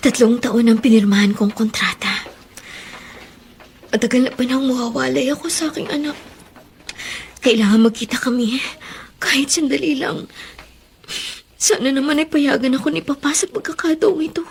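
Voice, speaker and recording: High-voiced, tearful pleading young mother. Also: normal speed, long pauses, a little hiss or hum. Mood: anxious pleading. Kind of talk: melodramatic Filipino radio drama acting. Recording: music bed under the voice.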